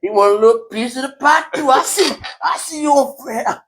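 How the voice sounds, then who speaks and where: A man hosting a podcast in a casual conversational style, animated, often repeating phrases and breaking easily into laughter. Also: very high voice, brisk, a little hiss or hum. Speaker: podcast host.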